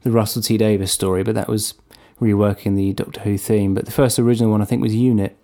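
A man being interviewed as a guest composer, answering thoughtfully with frequent pauses and fillers. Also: fairly low pitch, level tone, mellow. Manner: thoughtful, hesitant, many fillers.

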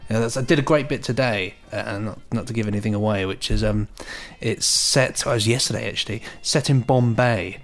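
A man's voice: on the low side, a little fast, few pauses. A man co-hosting a podcast in casual chat, cheerful and rambling, often repeating his words. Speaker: podcast co-host.

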